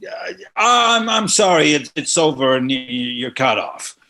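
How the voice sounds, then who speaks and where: A man's voice: on the high side, big pitch swings, unhurried, pushed and bright, exaggerated voice. A man playing a flustered, guilty married man who stammers, in a screenplay table read.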